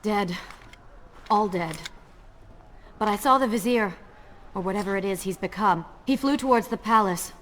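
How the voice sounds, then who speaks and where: A woman's voice: medium pitch, normal speed, pauses often, a bit bright, slight background noise, natural voice. An actress playing a bold, practical young woman adventurer, wry and impatient, in a dramatic video game. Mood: grave.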